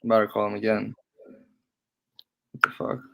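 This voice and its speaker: A man's voice: fairly low pitch, completely flat delivery, normal speed, creaky, bright, forceful tone, poor audio. A man who is a real estate investor chatting casually on a call, enthusiastic and swearing freely.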